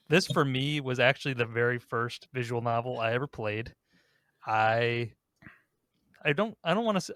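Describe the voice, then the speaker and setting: A man's voice: mid-range voice, a bit bright, no echo, studio quality. A man hosting a casual panel discussion, talking in an informal, conversational manner. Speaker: host of a ranking podcast.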